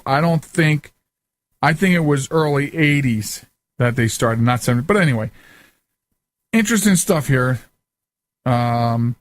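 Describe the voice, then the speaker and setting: A middle-aged man with a slightly high voice hosts a casual talk show. He rambles informally, with loose asides, close on the mic in a very dry studio sound. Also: dry recording. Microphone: close studio microphone.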